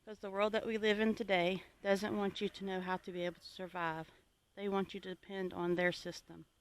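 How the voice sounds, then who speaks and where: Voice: medium pitch, flat delivery, a little fast, smooth, no room sound. A homesteading vlogger from the United States giving an informal, reflective talk to viewers in an earnest, plainspoken, advisory manner.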